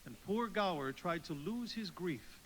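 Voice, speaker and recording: Boss angel in a radio play, kindly and instructive, high lively voice, faint noise. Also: male voice, measured pace, low-quality recording. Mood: kindly authority. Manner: instructive, avuncular. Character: kindly, authoritative male superintendent of angels. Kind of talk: live radio play acting.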